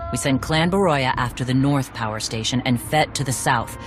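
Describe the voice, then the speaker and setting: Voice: medium pitch, normal speed, close to an everyday voice. Actor as a curt, commanding war leader. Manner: curt, authoritative. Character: commanding, battle-seasoned clan war leader. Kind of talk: video game cutscene acting. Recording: voice over background music.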